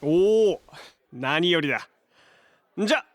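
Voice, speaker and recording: A man playing a hot-headed, flustered young hero with a soft heart in a Japanese superhero audio drama, brash and slangy in delivery. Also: a little high, animated, takes it slowly, dry recording. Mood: relaxed. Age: young adult.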